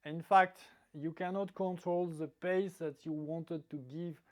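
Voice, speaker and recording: Slow, halting man. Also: on the high side, fairly even intonation, a little mellow. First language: French. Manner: halting, searching for words, many restarts. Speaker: dating advice vlogger. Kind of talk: storytelling monologue.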